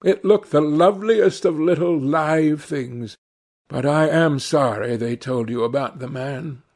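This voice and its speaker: A man acts out a melancholy young gentleman from a literary audiobook. He is self-absorbed and anxious, speaking with earnest, plaintive politeness in a raised voice with some creak. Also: a little high, a little slow, voice pushed up. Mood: strained politeness.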